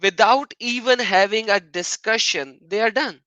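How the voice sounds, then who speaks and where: A man's voice: high voice, moderate pace, bright, forceful tone, dry recording, dull audio. A man hosting an argumentative live stream on religion, often mocking and repeating phrases for emphasis.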